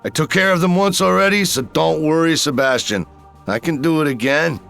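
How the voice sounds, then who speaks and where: An actor playing a cocky, defiant army major in a sci-fi game, sarcastic and combative. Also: male voice, fairly high pitch, normal speed, vocal fry, fairly bright tone, faint music behind. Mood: confident. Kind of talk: video game acting.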